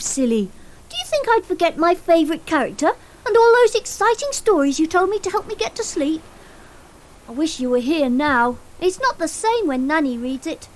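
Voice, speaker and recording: A precocious English boy in a radio drama. His voice is high and swoops up and down as he pronounces with pompous, defiant earnestness. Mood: chatty, playful. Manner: declaiming, mock-formal, insistent. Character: precocious, theatrical young schoolboy son, earnest and defiant. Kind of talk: radio play acting.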